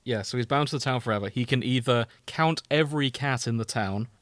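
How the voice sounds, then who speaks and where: A man talks casually as a podcast co-host. His voice sits at a medium pitch in a very dry recording. He teases and swears as he banters. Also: a little fast, a bit bright, no echo. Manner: teasing, sweary. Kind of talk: casual banter about TV with friends.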